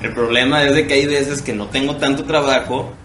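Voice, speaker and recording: A man's voice: medium pitch, fairly even intonation, fairly quick, background noise. Mexican man in his twenties, roomy sound. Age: 20s. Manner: slangy, joking. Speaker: podcast co-host. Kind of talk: casual banter chat. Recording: roomy echo, music behind.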